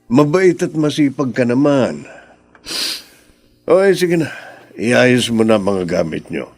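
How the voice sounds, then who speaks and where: A man's voice: medium pitch, sing-song, slow pace, darkish tone, heavily acted. A man with a Filipino accent playing a middle-aged well-off employer and father, apologetic but firm, in a radio drama.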